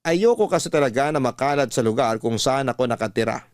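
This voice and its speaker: Male narrator for radio love stories, Filipino accent, medium pitch, deliberate delivery, close dry recording. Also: lively intonation, no room sound. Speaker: radio-style story narrator. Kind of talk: first-person dramatic storytelling, reading a letter. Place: dry studio, music behind.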